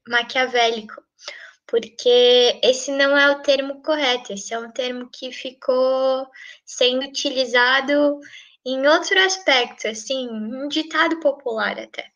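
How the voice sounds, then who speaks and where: Brazilian woman teacher, high and slow, gentle advice with light humor. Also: muffled recording. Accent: Brazilian Portuguese. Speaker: teacher giving writing tips. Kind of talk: online class.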